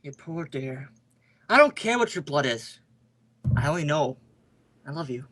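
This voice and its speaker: A man's voice: on the high side, very animated, a little slow, a bit bright, full character voice. A man playing an ardent, lovestruck young suitor in an old-time radio melodrama, earnest and declamatory. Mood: tender, insistent.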